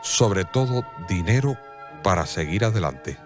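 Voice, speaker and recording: Male narrator for a religious radionovela: lowish voice, measured and solemn, light music underneath. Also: animated. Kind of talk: scripted radio drama narration.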